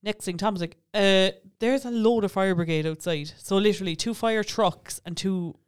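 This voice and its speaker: An Irish man with a notably high, clear, smooth voice. He chats as a podcast co-host, spinning funny stories casually, giggling and swearing freely as he goes. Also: normal speed. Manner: giggly, chatty storyteller who swears casually. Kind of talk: casual comic chat.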